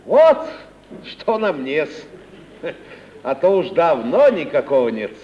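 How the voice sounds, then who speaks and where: A man's voice: on the high side, sing-song, takes it slowly. An actor playing a shabby, chatty wandering male comic in a 1950s radio play, meek, deferential and plaintive. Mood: chatty, rueful. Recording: dull, faintly noisy 1950s radio recording.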